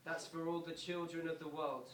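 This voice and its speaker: An actor playing an earnest male pop star making a slow, pleading, repetitive theatrical speech to stadium football fans. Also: a little high, little pitch movement, a bit bright, lightly acted. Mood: grateful, loving. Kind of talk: theatrical public speech, reenacted from quoted address. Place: indoor performance venue, recorded from a distance. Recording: roomy, distant, noisy live theatre recording.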